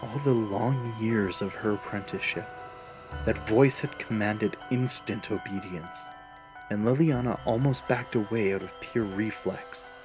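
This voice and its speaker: A man's voice: fairly low pitch, a little slow, over music. A man narrating a scripted fantasy story in a dramatic storytelling style.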